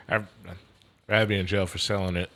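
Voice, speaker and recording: A man's voice: low-pitched, bright, forceful tone, a little hiss or hum. A man who hosts a sports radio show, speaking as a guest on a podcast in an easygoing, plain-spoken way. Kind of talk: casual podcast chat.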